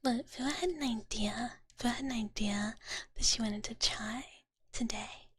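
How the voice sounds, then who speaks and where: A woman voicing a cute, gentle dragon big sister in whispered live ASMR, soothing and bubbly, with a filler-heavy chatty manner on a close microphone. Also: on the high side, normal speed, no echo. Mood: soft, chatty. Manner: cutesy, speaks of herself in third person, filler-heavy. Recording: clearly noisy close ASMR recording, clicks.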